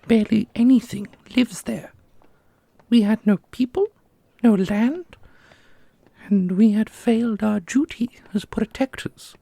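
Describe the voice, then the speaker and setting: A woman playing a weary, solemn ancient divine guardian in an ASMR fantasy roleplay, soft and husky, with measured speech. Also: fairly high pitch, no echo, heavily acted. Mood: mournful.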